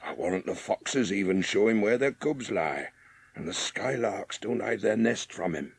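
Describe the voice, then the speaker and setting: An actor playing a gruff, lonely, sour old Yorkshire gardener in a dramatised audiobook, speaking blunt rustic dialect. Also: male voice, lowish voice, expressive, normal speed. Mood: wry, gruff.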